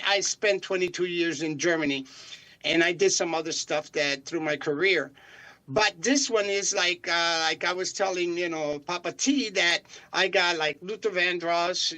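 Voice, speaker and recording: An older singer from Chicago answering interview questions in a rambling way, with many fillers and restarts. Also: male voice, high voice, pushed and bright, low-quality recording. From Chicago, United States. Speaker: singer guest.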